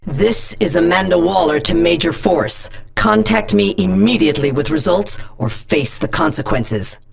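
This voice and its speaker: A steely, middle-aged female agency chief for a video game, issuing orders in a cold, clipped, threatening way. Her voice sits low, yet it swings widely between words. Nothing she says leaves room for argument. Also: expressive, normal speed, gravelly. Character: stern, commanding female government agency chief.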